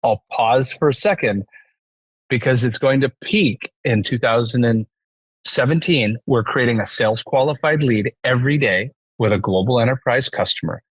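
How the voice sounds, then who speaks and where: Podcast guest: male, forties, Canadian accent, mid pitch, conversational business storyteller, dry recording. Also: moderate pace, slightly rough, no echo, poor audio. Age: 40s.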